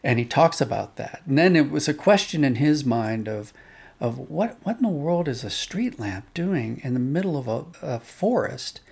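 A man explaining thoughtfully as a guest in conversation. He has a medium-pitched, noticeably gravelly voice and sets out his points reflectively, one step at a time. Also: expressive, normal speed, a little mellow. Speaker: podcast guest, audio drama writer and director.

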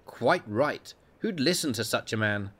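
A man voicing a brisk, condescending senior male hospital consultant with a sardonic, clipped manner. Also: mid-range voice, expressive, a bit bright. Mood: amused.

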